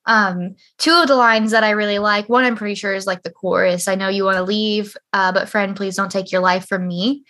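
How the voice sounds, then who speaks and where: A young woman with a high-pitched voice chatting casually on a podcast, talkative and emphatic, swearing freely. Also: fairly even intonation, fairly quick, hardly any pauses, bright, forceful tone.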